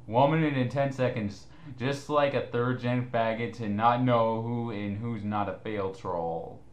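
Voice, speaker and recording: A man's voice: mid-range voice, a little slow. A man co-hosting a casual mocking commentary, sarcastic and profane in the way he talks. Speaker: co-host reading his written replies to comments. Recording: echoey room sound.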